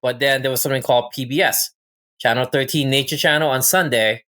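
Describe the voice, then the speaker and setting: A man's voice: mid-range voice, fairly even intonation, fast, bright, forceful tone. A man from Thailand chatting casually as a podcast guest, telling personal stories as a falconer. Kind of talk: casual personal storytelling and chat.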